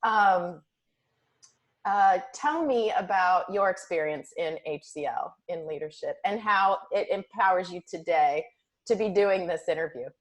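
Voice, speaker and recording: A woman's voice: on the low side, measured pace. A woman hosting a cooking show interview, giving kitchen tips and encouraging her guest. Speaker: host. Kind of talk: casual interview chat.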